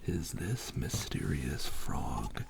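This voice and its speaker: A man softly telling a rambling ASMR bedtime story in soothing, halting phrases, whispering close to sensitive microphones. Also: expressive. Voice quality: breathy whisper mixed with low creaky voice. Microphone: close high-sensitivity microphones. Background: soft writing and tapping trigger sounds.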